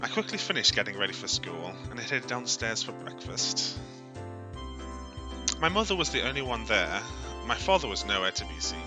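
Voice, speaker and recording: A man reading a visual novel aloud on a stream as dramatic, expressive narration, in a theatrical storytelling way. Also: lowish voice, normal speed, over music.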